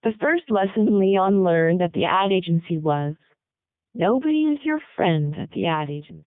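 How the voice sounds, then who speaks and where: A narrow, phone-like recording of a woman reading narration. Her voice sits a little low. The sound is dry, with little room to it. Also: expressive, normal speed, no room sound. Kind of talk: scripted read narration.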